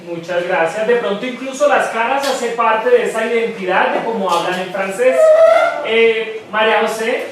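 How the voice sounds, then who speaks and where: A man's voice: sits high, normal speed, fairly bright tone, a little hiss or hum. A man with a Colombian paisa accent teaching a French class, patiently asking students for their thoughts and encouraging them. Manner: patient, encouraging, asks questions. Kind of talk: classroom discussion. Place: school classroom. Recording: echoey classroom, distant mic.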